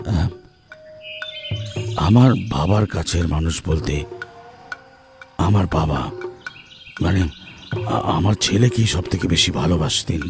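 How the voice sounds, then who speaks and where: A man playing an ailing, bewildered father and householder in an audio mystery, halting and hesitant. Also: deep voice, over music. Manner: halting, hesitant, repeats himself. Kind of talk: audio detective story acting.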